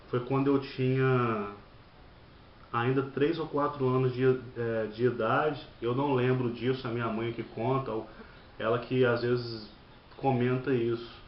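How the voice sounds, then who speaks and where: Man, thirties, church testimony, halting, repeats words, echoey room, dull lo-fi sound. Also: medium pitch, slight background noise. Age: 30s. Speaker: church member giving testimony. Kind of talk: personal testimony.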